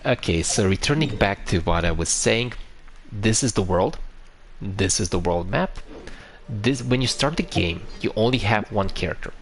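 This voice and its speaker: A man's voice: fairly low pitch, lively intonation, moderate pace, crowd noise. A man from Romania with a Romanian accent, chatting casually on a live stream, answering viewers and explaining a game with frequent restarts.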